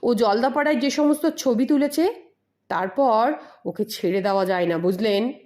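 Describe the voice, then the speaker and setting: A woman's voice: on the high side, moderate pace, darkish tone, lightly acted. A woman voicing a menacing, bullying male hired thug in an audio story. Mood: wary, insistent. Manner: bullying, demanding.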